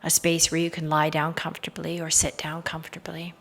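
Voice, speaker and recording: A woman leading a guided meditation in a soothing, hypnotic style, with soft trailing phrase endings. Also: sits low, completely flat delivery, a little fast, vocal fry, a little hiss or hum.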